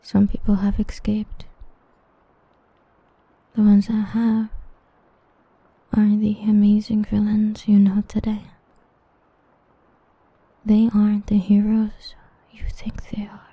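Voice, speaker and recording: A young woman voicing an obsessive, protective half-demon villain in a hushed, intimate ASMR roleplay whisper. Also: medium pitch, monotone, husky, dry recording, highs cut off. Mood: hushed menacing. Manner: hushed, intimate, slow and brooding.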